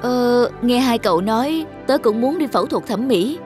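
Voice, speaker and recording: A woman voicing an insecure young wife in an animated drama, plaintive and expressive. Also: on the high side, moderate pace, natural voice. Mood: unsure. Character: insecure young wife anxious to look prettier. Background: background music.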